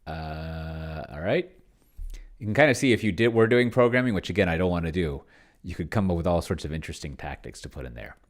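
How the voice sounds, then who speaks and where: A man's voice: low voice, animated, brisk. A man talking casually to himself in a rambling think-aloud game commentary, sometimes muttering and reading aloud as he types. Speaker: solo tabletop role-player demonstrating a game.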